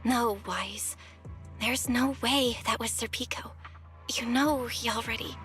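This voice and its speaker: A woman playing a caring, slightly bossy young woman in a video game, speaking in an expressive, conversational way. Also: a little high, unhurried, soft background music. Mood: gentle, reassuring.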